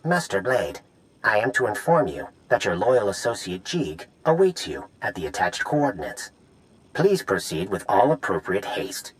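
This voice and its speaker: Formal messenger droid, lively pitch. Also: male voice, moderate pace, poor audio. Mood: formal, courteous. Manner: stiffly polite, procedural announcements. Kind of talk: video game voice acting.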